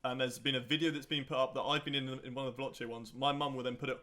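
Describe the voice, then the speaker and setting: A man's voice: mid-range voice, flat delivery, very fast, pushed and bright. A young man hosting a podcast in a casual, teasing, jokey way. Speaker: podcast host.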